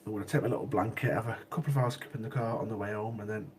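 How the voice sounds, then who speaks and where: A man's voice: lowish voice, fairly even intonation, very fast, a little mellow, slight background noise. Sniffly, chatty man. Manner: chatty, repeats himself, laughs easily. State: sniffly with a cold. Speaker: livestream host. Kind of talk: casual chat with live chat viewers.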